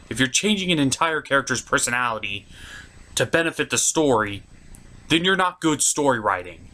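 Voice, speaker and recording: A man's voice: mid-range voice, fairly bright tone. A man delivering a ranting, profane review as an online host, exasperated and emphatic.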